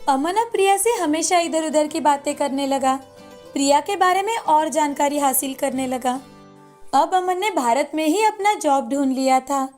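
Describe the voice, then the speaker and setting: A woman narrates a cartoon story in a high voice. Her delivery is scripted storytelling meant for a moral tale. Music plays softly behind her. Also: moderate pace.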